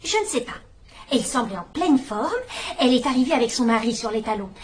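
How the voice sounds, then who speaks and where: A sharp-minded old spinster detective, voiced by an actress with a high, lively voice that swoops as she probes gently and wryly. She sounds gentle yet knowing, over a faint hiss. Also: fast, natural voice. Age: older. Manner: gentle, wry, quietly probing. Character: shrewd elderly spinster sleuth, gentle and sharp. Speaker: amateur detective. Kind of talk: dubbed radio-style drama acting.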